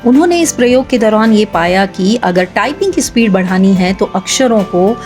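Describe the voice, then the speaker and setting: A woman from India narrating an inspirational story in a measured way, speaking directly to her listeners. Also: mid-range voice, animated, a little mellow. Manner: measured, addresses listeners directly with rhetorical questions. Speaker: storyteller and host. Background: background music.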